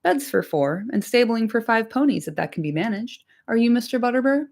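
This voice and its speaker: A woman's voice: on the high side, lively intonation, brisk, vocal fry, a little mellow, pitched above the performer's own voice. A woman voicing a polite young hobbit. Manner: polite, careful.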